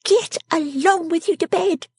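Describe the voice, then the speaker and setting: A woman voicing a sharp-tongued, sickly young girl dressmaker from a storybook, bossy, scolding and snappish. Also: extremely high pitch, a little slow, no room sound, studio quality, voice pushed up. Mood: angry, snapping. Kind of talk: storybook character acting.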